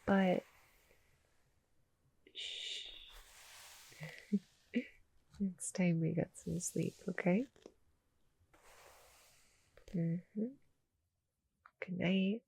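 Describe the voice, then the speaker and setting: A woman voicing a small, sweet female cuddle monster in an intimate ASMR roleplay, soft and breathy, often near a whisper, gentle and a little hesitant. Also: on the low side, fairly even intonation, very slow, pauses often, heavily acted, rough recording. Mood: sleepy, tender. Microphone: close, dry studio-style mic.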